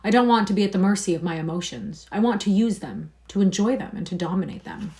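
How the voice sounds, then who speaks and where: A woman voicing a bored, vain young gentleman in a read-aloud novel, languid and dismissive. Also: mid-range voice, animated, fast talker, natural voice.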